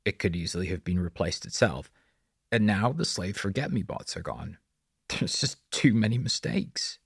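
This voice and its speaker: Man acting as audiobook villain boss, husky, low, menacing, sarcastic, curt. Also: expressive, normal speed, dry recording, clean studio sound, exaggerated voice. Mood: suspicious.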